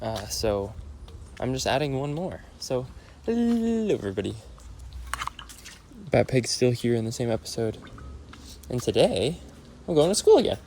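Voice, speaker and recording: A man's voice: mid-range voice, big pitch swings, moderate pace, vocal fry, long pauses, mellow. A young man with a stuffy, nasal voice talks into his camera from the car. He rambles casually and keeps correcting himself mid-sentence. Road and engine noise hum steadily behind him. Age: young adult. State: sick, nasal. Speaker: vlogger. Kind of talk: casual vlog while driving.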